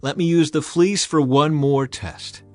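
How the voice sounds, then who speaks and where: A man's voice: fairly high pitch, unhurried, faint music behind, close to an everyday voice. A man voicing Gideon, a humble, doubting and fearful Israelite in an audio Bible drama, earnest and pleading. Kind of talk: dramatized scripture reading.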